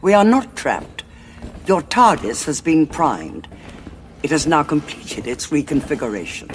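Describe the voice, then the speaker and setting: An actress playing a cold, scheming woman cardinal of a war council, speaking in a measured, aloof, authoritative way. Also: sits low, sing-song, background noise. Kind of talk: audio drama acting. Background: music score.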